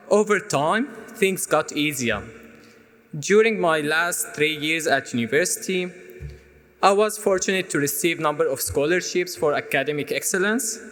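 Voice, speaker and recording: A man's voice: fairly high pitch, a bit bright. A man in his twenties from Syria, a native Arabic speaker with a Syrian Arabic accent, giving a prepared personal speech to an audience. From Aleppo, Syria. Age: 20s. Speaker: student speaker at an event. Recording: long hall reverb.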